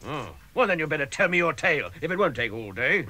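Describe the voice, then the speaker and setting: An actor playing a huge, gruff, suspicious but hearty woodsman in an audio drama, blunt and brusque. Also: on the high side, sing-song, fast talker, pushed and bright, exaggerated voice. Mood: gruff, curious. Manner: blunt, brusque, exclamatory questioning.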